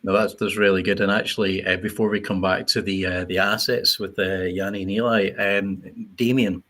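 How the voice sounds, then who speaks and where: A man moderating an unscripted online panel in a halting, discursive way with a husky voice. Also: deep voice, level tone, pushed and bright. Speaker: host of a panel livestream.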